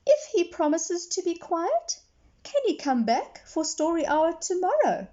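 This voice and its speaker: Woman as little girl, high pitch, earnest, sweet storybook child, slightly dull recording. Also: very animated, a little slow, dark tone, exaggerated voice. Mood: pleading. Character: little girl, earnest, pleading, kind-hearted.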